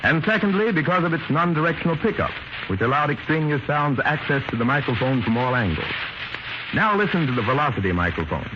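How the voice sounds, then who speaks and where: A man's voice: on the high side, big pitch swings, fast. A man presenting as a 1930s radio announcer in a formal, precise, instructive manner. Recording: noisy muffled 1930s radio recording.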